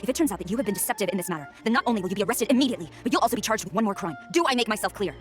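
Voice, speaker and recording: A woman's voice: medium pitch, expressive, rapid-fire, faint music behind, close to an everyday voice. An actress playing a stern, dutiful young woman general in a video game, speaking in a formal, commanding and earnest way. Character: stern, dutiful young female general, betrayed by her master. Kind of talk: dramatic video game acting.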